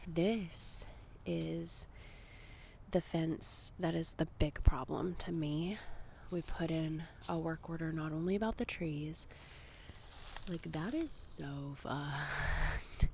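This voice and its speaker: A woman, probably in her thirties, gives a casual, chatty ASMR tour in soft whispers and hushed talk outdoors. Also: low voice, slow pace. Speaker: ASMR vlogger. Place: outdoors in a residential backyard. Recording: faint wind and outdoor noise.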